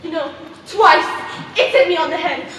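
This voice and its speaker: A woman's voice: extremely high pitch, normal speed, a bit bright. A woman acting a dithering, stubborn eccentric in an amateur stage play, with a repetitive, exclamatory way of talking, heard in a noisy hall. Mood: insistent. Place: theater stage, recorded from afar. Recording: very echoey hall, distant mic, noisy.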